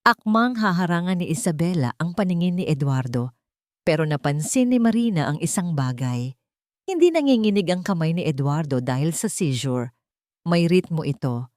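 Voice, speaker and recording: Expressive, lower-voiced woman telling a drama. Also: no room sound, studio quality. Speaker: storyteller. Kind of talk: dramatic scripted story narration.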